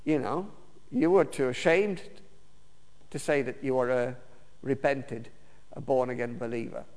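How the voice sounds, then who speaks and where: A man's voice: mid-range voice, a little mellow. Man preaching in a reverberant hall. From Romania. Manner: halting, many fillers and restarts. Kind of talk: personal testimony sermon.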